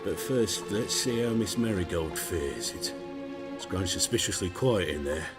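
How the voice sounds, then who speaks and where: A man playing a smug, menacing witch hunter commander in a video game, speaking in a measured, sardonic, coldly polite way. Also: sits low, animated, loud music behind the voice. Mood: smug menace. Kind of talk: video game character acting.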